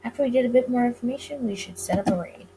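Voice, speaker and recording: A woman's voice: fairly high pitch, rapid-fire, over music, close to an everyday voice, low-quality recording. A woman voicing a sardonic, teasing male police detective from a 1920s visual novel. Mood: earnest. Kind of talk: visual novel character reading. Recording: clear background noise.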